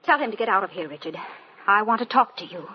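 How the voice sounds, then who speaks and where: An actress playing a bitter, vengeful young would-be star in a 1940s radio drama, intense and melodramatic, on a muffled old recording. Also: female voice, medium pitch, lively intonation, quick pace, a little hiss or hum, natural voice. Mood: bitter, accusing.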